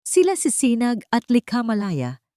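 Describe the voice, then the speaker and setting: A woman's voice: fairly high pitch, wildly swooping pitch, moderate pace, warm tone, dry recording, pitched above the performer's own voice. A formal, authoritative sheikh, played by a woman storyteller. He gives orders like a wealthy master of the house in a narrated romance, recorded very dry. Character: formal, commanding wealthy sheikh, adult man.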